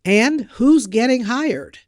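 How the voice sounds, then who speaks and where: Podcast news presenter, medium-pitched, scripted delivery, very dry close recording. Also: expressive, takes it slowly, dry recording.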